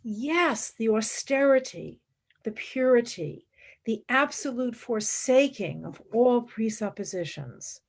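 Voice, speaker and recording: A woman acting in a radio play as an idealistic, exacting young philosophy student, earnest and expressive. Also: a little high, slow pace, heavily acted. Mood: fervent.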